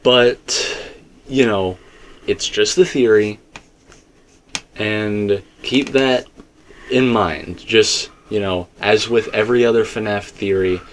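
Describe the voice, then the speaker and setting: Low-pitched man rambling over background noise. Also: steady tone, takes it slowly. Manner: rambling, hedging, conversational. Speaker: co-host of a video game theory discussion. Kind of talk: casual unscripted discussion.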